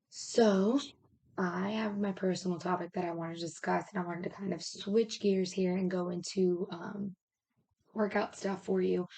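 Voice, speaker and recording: A woman's voice, a little low and raspy, as if she is losing it. She speaks as a health and fitness coach in casual talk. Her delivery is informal and rambling, explaining step by step with frequent check-ins. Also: warm tone.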